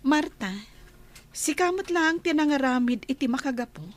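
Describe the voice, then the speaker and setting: A sensible, caring young woman on staff voiced by an actress, with a high voice and an Ilocano accent. She speaks respectfully, in radio-drama style. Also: moderate pace, close to an everyday voice. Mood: indignant. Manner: respectful, reassuring. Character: caring, sensible young female employee advising her boss.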